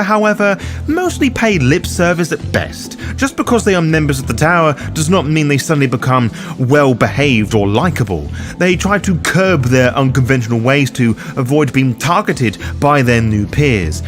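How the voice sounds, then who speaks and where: A man hosting a roleplaying game guide, reading scripted narration and addressing the listener directly. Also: medium pitch. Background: background music.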